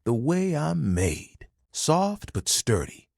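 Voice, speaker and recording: A man playing an easygoing, proud and sentimental living towel in a video game, with a husky, expressive and earnest delivery. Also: on the high side, takes it slowly, no echo, studio quality, heavily acted. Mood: tender, flirty. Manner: laid-back, expressive, earnest, playfully boastful. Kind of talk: video game character dialogue.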